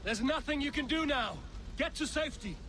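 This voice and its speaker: An actor playing a determined Viking warrior rescuing villagers in a video game. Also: male voice, very high voice, lively intonation, a little fast, sharp tone, a little hiss or hum, heavily acted. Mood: urgent shouting.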